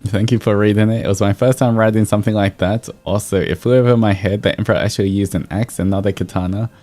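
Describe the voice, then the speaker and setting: Very low-voiced, mellow man streaming. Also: fairly even intonation, fast. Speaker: VTuber streamer host. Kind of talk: livestream fan-fiction reading with casual chat. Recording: soft music behind. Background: background music.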